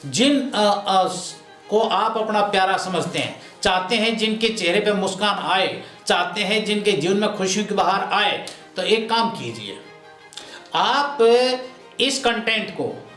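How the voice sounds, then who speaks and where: A man's voice: sits high, lively intonation, a little slow, fairly bright tone. A man hosting an interview, speaking in a courteous, effusive and flowery formal way. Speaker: interviewer and host. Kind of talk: warm formal introduction and interviewing. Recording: roomy echo, music underneath.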